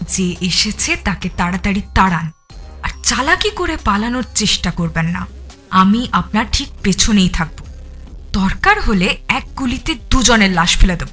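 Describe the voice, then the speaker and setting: An actress playing a cold, scheming murderous wife in an audio thriller, composed, menacing and contemptuous. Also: female voice, medium pitch, animated, moderate pace, sharp tone, slightly rough, faint music behind. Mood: menacing, commanding. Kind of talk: dramatised audio thriller acting.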